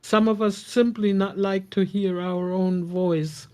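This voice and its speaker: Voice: medium pitch, fairly even intonation, measured pace, mellow. A guest in casual chat speaking English with a non-native accent, hesitant and searching for words. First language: German-speaking upbringing.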